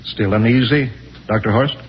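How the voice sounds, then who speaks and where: An actor playing a steady spaceship captain in a vintage science fiction radio drama, giving clipped, authoritative orders. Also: male voice, medium pitch, normal speed, lightly acted. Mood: calm command. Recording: muffled, noisy old radio broadcast.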